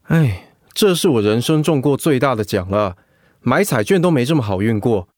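An actor playing a blunt, sociable middle-aged ex-marine patient in a Mandarin radio drama. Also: male voice, medium pitch, lively intonation, normal speed, darkish tone, no echo, clean studio sound, lightly acted. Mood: resigned, grateful.

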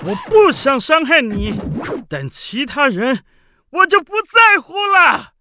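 A man's voice: very high-pitched, very animated, takes it slowly, background noise, exaggerated voice. A man voicing a vain, petty frog prince villain in a theatrical Taiwanese Mandarin children's radio drama. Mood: furious, menacing. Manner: theatrical, grandiose. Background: music.

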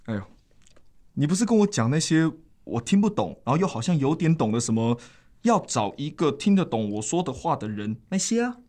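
A man's voice: fairly high pitch, very animated, a little fast, a little mellow. An actor playing a chatty, good-hearted young man in a radio drama, rambling colloquially with a Taiwanese Mandarin accent. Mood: bashful, excited. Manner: colloquial, rambling, sentence-final particles.

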